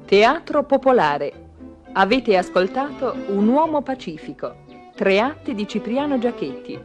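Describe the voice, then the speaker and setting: Lively-pitched woman radio announcer. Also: a little slow, soft background music. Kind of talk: formal scripted credits announcement.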